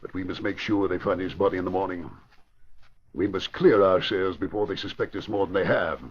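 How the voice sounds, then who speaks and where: A man's voice: low-pitched, fairly even intonation, a little fast, close to an everyday voice. A man acting a short-tempered, scheming crime boss in an old radio drama, curt and commanding. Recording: muffled, hissy old radio recording.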